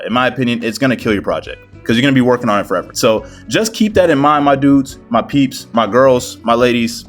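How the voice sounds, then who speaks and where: Male online creator, mid-range voice, casual and slangy, self-deprecating advice-vlog delivery, music underneath. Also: mid-range voice. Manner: slangy, bro-heavy, animated storytelling and self-deprecating. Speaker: video creator giving game development tips. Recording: music behind the voice. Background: background music.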